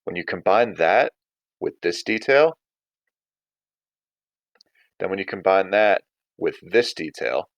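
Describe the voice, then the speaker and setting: A man's voice: low voice, expressive, slow pace, vocal fry, pauses often, dry recording, dull audio. A man teaching a writing lesson in an informal, conversational way, thinking aloud as he explains. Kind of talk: casual writing lesson and story read-aloud.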